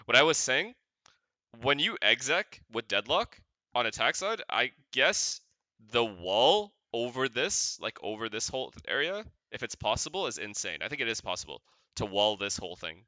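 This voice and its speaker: A man's voice: medium pitch, very animated, moderate pace, pushed and bright, no echo. A man streaming and chatting casually with his viewers, blunt and profane, joking around as he reacts.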